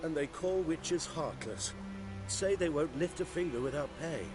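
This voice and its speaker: A man's voice: on the high side, expressive, unhurried. An actor voicing a chatty, folksy male villager in a fantasy video game. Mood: grateful. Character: talkative, grateful male village traveler in fantasy world. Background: music.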